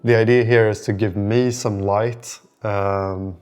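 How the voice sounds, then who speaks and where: A man's voice: fairly low pitch, steady tone, takes it slowly, a little mellow, clear, resonant tone. A man hosting a video diary, chatting casually in an informal, rambling and hesitant way. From lives in southern Sweden. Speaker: host of a startup-building video diary. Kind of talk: casual vlog chat. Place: indoor room beside a window.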